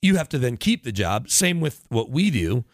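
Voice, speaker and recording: A man's voice: mid-range voice, sing-song, normal speed, no echo, clean studio sound. A man talking sports casually as a radio broadcaster guest, explaining in long detail with tangents and self-corrections. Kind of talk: casual sports talk interview.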